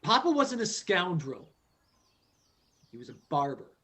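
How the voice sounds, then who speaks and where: A man playing an earnest, anxious but insistent son in a radio play, with a husky, hesitant delivery. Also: sits high, wildly swooping pitch, measured pace, bright, forceful tone, full character voice. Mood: hurt, insistent. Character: earnest, anxious, insistent child of a dead father.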